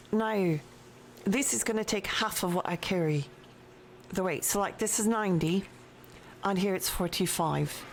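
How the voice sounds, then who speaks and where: A woman streaming a game at her home desk, chatting casually and slowly with her viewers and her pet. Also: mid-range voice, slight background noise. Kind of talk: casual live-stream chat while playing. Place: home desk with a cat nearby.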